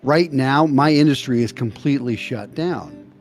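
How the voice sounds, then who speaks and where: A man's voice: mid-range voice, normal speed. Man in his fifties, casual stream co-host, earnest, keeps asking "right?", faint music under. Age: 50s. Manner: earnest, checks in with "okay?" and "right?". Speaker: livestream co-host. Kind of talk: casual chat.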